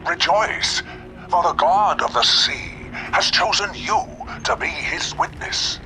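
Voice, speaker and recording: An actor playing a sinister, ceremonious priest and prophet of a sea god in a video game, grand and theatrical. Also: male voice, sits high, lively intonation, slow pace, sharp tone, soft background music, exaggerated voice, poor audio. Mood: grandiose, fervent.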